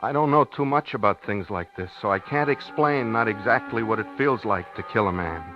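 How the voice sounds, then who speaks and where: An American actor playing an anxious married man in a 1950s radio thriller, halting and plain-spoken as he confesses. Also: fairly low pitch, lightly acted. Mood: somber narration. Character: anxious married man who ran someone over, confessional narrator. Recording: muffled 1950s radio recording with music.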